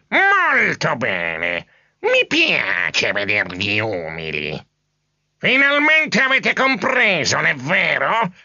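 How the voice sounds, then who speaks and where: A reader voicing a boastful, sly male cat jailer who speaks in a slow, theatrical and mock-tearful way. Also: medium pitch, sharp tone, no echo, pitched above the performer's own voice, heavily acted. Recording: slightly dull lo-fi audio.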